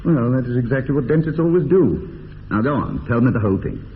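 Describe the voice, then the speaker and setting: Through a tinny, echoing old radio sound comes a man playing a witty young English toff, arch and mock-earnest. His pitch swoops in lively mockery as he teases with polished upper-class phrasing. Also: fairly quick, natural voice. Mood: playful. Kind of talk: radio drama acting of period comedy. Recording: tinny band-limited radio, long reverb, hiss.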